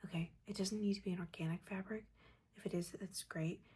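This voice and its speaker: A woman voicing a judgmental but caring witch mentor in a breathy, chatty, chiding whisper, in a soft-spoken ASMR roleplay. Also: medium pitch, steady tone, fairly quick. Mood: chiding, fussy. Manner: chatty, chiding, casual slangy asides.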